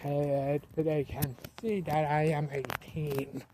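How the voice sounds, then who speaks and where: An eighteen-year-old man vlogging outdoors at night, talking to a handheld camera, slow and repetitive, often restarting his sentences. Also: fairly high pitch, little pitch movement, a little mellow, slight background noise. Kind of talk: casual vlog narration.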